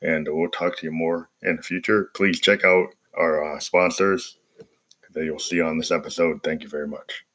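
A man with a ragged, cold-hoarsened voice, casually walking through his music production as a host, in a halting, rambling way. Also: rumbling low, level tone, fairly bright tone.

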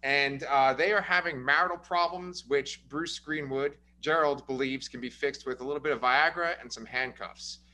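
A man's voice: medium pitch, normal speed, few pauses, sharp tone, slight background noise. A man hosting a casual movie review podcast, upbeat and chatty, recapping the plot with frequent fillers. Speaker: podcast host.

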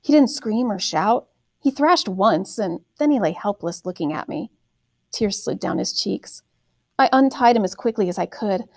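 A woman narrating a first-person story expressively, with deliberate, dramatic phrasing. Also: medium pitch, expressive, no echo, clean studio sound. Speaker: audiobook reader, teacher reading aloud.

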